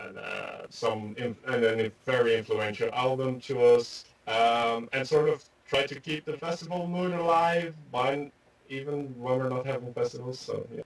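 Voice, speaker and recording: Man on a distant mic. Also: mid-range voice. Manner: loose, filler-heavy rambling. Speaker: musician guest. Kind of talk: casual interview answers.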